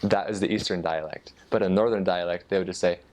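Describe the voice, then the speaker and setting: American male, deep register, informal explainer, somewhat halting delivery as he thinks aloud. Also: steady tone, darkish tone. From United States. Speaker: former missionary explaining Norwegian dialects. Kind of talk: casual explanatory talk.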